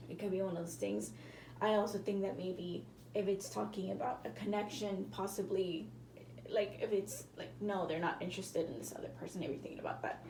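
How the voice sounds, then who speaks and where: A woman with a hoarse, cracking voice, giving a casual tarot reading to viewers and often apologizing. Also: medium pitch, steady tone, a little fast, a little mellow, echoey room, slight background noise, rough recording. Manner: chatty, apologetic, hedging with fillers. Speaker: tarot reader.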